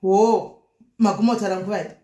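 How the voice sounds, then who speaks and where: A woman's voice: mid-range voice, a little fast, mellow. A Zimbabwean woman, a native Shona speaker, hosting a live prank-call show in casual chat that mixes languages. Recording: echoey room.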